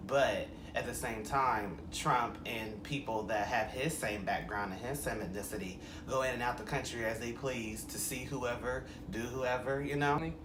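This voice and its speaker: Young man, mid pitch, frank and reflective, echoey room with noise behind. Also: normal speed, few pauses. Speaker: interviewee.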